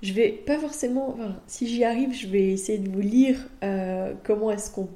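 A woman's voice: medium pitch, normal speed, mellow, roomy sound. A woman from France with a French accent, hosting a podcast as a midwife and talking in a monologue.

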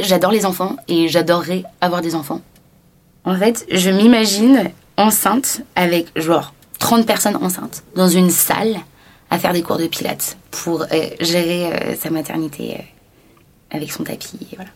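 A woman's voice: on the low side, steady tone, moderate pace. A woman in her twenties giving an enthusiastic, casual personal testimony as an interviewee. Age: 20s.

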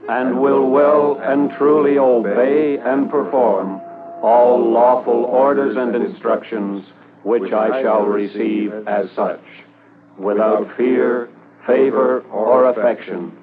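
An actor playing a solemn police recruit reciting an oath, formal and deliberate, in 1950s radio style. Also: male voice, medium pitch, steady tone, lightly acted. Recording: narrow-band 1950s radio, loud music.

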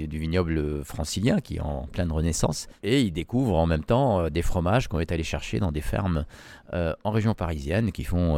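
A man's voice: low-pitched, darkish tone, no echo. A middle-aged man from Lebanon, a winemaker giving a tour of his winery, talking with frequent hesitations. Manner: hesitant, many fillers. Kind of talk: guided tour interview.

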